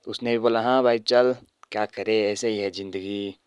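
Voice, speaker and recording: Low flat-voiced man narrating a vlog. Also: normal speed, heavy background noise. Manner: chatty, addresses viewers as guys.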